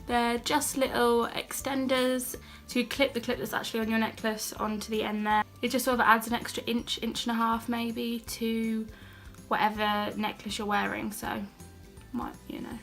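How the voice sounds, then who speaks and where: A young British woman with a cold and a slightly croaky voice, chatting casually and self-deprecatingly as she shows a shopping haul on her channel. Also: a little high, flat delivery, normal speed, fairly bright tone, soft background music. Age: young adult. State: has a cold, a bit croaky. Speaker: beauty and haul vlogger. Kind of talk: casual haul vlog chat.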